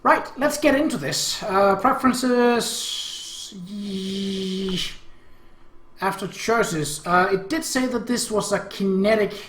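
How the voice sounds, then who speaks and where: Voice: medium pitch, slow pace, fairly bright tone, echoey room, a little hiss or hum. A game host giving casual, wry commentary and reading a visual novel story aloud, with hesitant asides. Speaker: let's play host.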